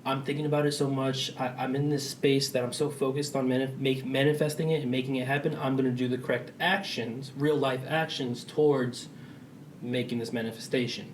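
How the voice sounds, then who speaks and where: A man's voice: mid-range voice, little pitch movement, fairly quick, reverberant, recorded from a distance, a little hiss or hum. A young man on a casual podcast, explaining his views at length. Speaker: podcast co-host. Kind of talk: casual chat.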